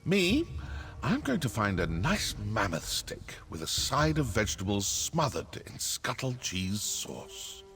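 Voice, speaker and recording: Wry assassin with very animated delivery. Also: male voice, on the low side, measured pace, exaggerated voice. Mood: wry, boastful. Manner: wry, self-satisfied. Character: wry, worldly mercenary male assassin. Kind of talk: scripted fantasy game dialogue.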